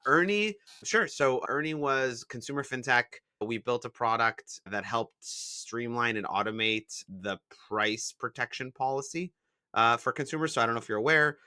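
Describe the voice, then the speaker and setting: A man's voice: medium pitch, moderate pace, pushed and bright, no room sound, clean studio sound. A man from Israel and the San Francisco Bay Area, telling his startup story in a casual interview and explaining it with examples. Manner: explains things with examples, frequent "like" fillers. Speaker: startup founder, guest.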